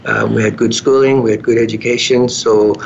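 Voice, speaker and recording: A middle-aged man from South Africa with a South African accent, answering interview questions in a measured, reflective way with some hesitations. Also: steady tone, vocal fry. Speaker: guest, business coach. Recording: dull lo-fi sound with faint rumble.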